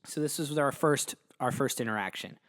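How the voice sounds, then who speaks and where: A podcast host telling a story casually, rambling and amused at himself. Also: male voice, mid-range voice, moderate pace, a bit bright.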